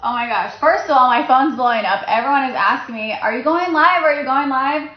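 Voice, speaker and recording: A woman's voice: sits high, a little fast. A woman speaking on a livestream, nervous with a shaking voice, gushing and earnest. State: nervous, shaky voice. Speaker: social media seller on a livestream. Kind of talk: emotional livestream announcement. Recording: roomy, dull phone livestream audio.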